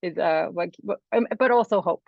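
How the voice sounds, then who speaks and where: A woman's voice: on the low side, normal speed, gravelly, dry recording, lo-fi sound. A woman researcher raised in the United States, answering a panel question in an articulate, earnest way over a video call.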